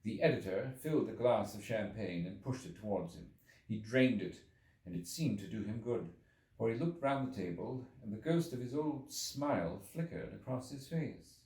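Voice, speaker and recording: Low-voiced male storyteller in an echoey room. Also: animated, normal speed, darkish tone. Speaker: audiobook reader. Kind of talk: expressive scripted literary narration. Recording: echoey room, distant from the mic.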